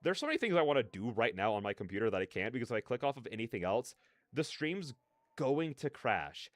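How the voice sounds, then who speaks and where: A man's voice: medium pitch, big pitch swings, fast, no echo, studio quality. A man hosting a livestream, chatting casually in a rambling, slangy, digressive way. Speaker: livestreamer hosting a reaction stream.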